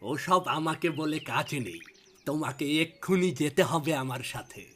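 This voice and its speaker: A man playing a gruff, impatient palace guard in a fairy-tale cartoon, brusque and bossy, with a husky voice. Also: a little high, animated, unhurried, fairly bright tone, a little hiss or hum. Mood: impatient, demanding.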